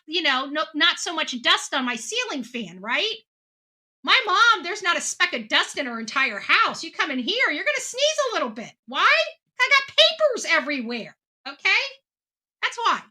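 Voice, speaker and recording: A woman in her forties speaks in a high-pitched voice with a very sharp, forceful edge. She delivers impassioned commentary as an online host, unscripted and indignant. She leans hard on her points and repeats phrases for emphasis. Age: 40s. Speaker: online commentator hosting a true-crime show. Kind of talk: impassioned off-the-cuff commentary, reading viewer comments.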